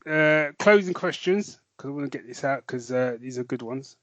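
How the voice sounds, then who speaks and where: A man's voice: medium pitch, moderate pace, fairly bright tone, no room sound, highs cut off. A man co-hosting a podcast, chatting with rambling enthusiasm and a lot of "man". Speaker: podcast co-host. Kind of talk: casual chat.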